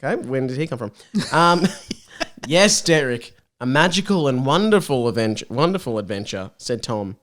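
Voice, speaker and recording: A man's voice: medium pitch, animated, normal speed, a bit bright. An Australian man guesting on a comedy podcast, reading fan fiction aloud and bantering, giggly, sarcastic and sweary.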